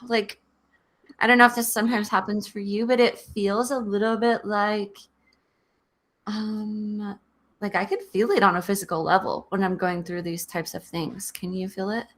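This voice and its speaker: A woman's voice: mid-range voice, flat delivery, moderate pace. A woman co-hosting a live session, talking earnestly and reflectively about her inner experience.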